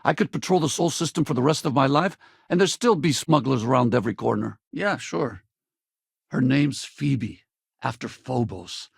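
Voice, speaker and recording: A middle-aged space patrol pilot for a video game, very gravelly n husky, wry but friendly. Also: male voice, medium pitch, lively intonation, moderate pace, no echo, studio quality, full character voice. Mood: reflective. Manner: easygoing, earnest, wry. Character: seasoned male spaceship pilot, wry regretful father, good-natured patrolman. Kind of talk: video game companion voice acting.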